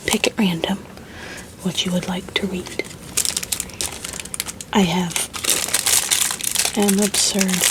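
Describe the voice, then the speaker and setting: A woman whispering softly and very quietly as she chats through an ASMR video at home. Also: on the low side, low-quality recording. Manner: slow, unhurried chatty whispering. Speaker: ASMR creator. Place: home room. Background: crinkling of paper and book stickers.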